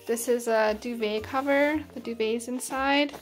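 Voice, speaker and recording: A woman's voice: fairly high pitch, steady tone, measured pace, faint music behind. A woman in her thirties from Canada, chatting casually while showing her home on a handheld phone camera. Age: 30s. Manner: chatty, easygoing, with many fillers like "like". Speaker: vlogger giving a house tour. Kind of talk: casual house-tour chat. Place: indoors in a home. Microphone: handheld phone camera at arm's length.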